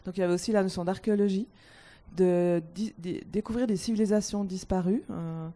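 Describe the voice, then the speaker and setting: A woman on a panel telling a story from her childhood in a lively way, repeating words as she goes. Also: mid-range voice, a little fast. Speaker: panel guest. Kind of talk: personal anecdote.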